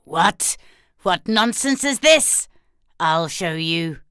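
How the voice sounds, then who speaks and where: A woman's voice: fairly low pitch, lively intonation, slow pace, fairly bright tone, clear, resonant tone, no room sound, studio quality. A woman voicing a furious, possessive fairy-tale witch in a cartoon, scolding dramatically. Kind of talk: cartoon villain acting.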